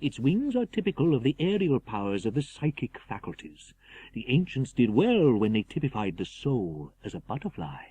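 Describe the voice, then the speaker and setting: A man's voice: medium pitch, big pitch swings, normal speed, mellow, breathy edge, low-quality recording. A man voicing an agitated, cunning asylum madman, superior and evasive, in a theatrical, erratic and insistent manner for an audiobook. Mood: superior, grandiose. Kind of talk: dramatised audiobook character voice.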